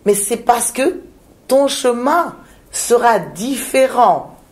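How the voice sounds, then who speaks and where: A woman from Madagascar, a shaman, speaking in a reflective interview with frequent pauses, hesitations and repeated words. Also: fairly high pitch, animated, darkish tone. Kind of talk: reflective spiritual interview talk.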